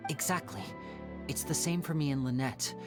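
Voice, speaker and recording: An actor playing a gentle, devoted young male stage magician in a video game, with polished, theatrical phrasing. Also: on the high side, moderate pace, natural voice. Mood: earnest, tender. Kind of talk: video game character dialogue. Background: faint game music.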